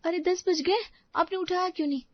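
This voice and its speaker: A woman's voice: extremely high pitch, steady tone, brisk, no room sound, highs cut off. A woman voicing a naive, literal-minded young daughter-in-law in a cartoon, innocent and obliging.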